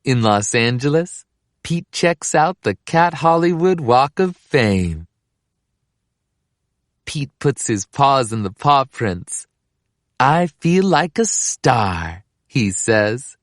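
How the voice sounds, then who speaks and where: A woman reading a children's picture book aloud in an expressive, deliberate way. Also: extremely low pitch, dry recording, studio quality. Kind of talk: scripted children's story read-aloud.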